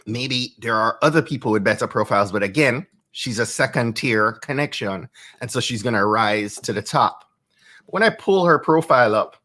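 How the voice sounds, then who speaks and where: A man's voice: mid-range voice, sharp tone. A man in his thirties with a Jamaican accent, hosting a live stream and giving marketing tips in a conversational way, often checking in with "right?". He speaks into a studio microphone in a home studio. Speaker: host. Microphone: studio microphone with headphones.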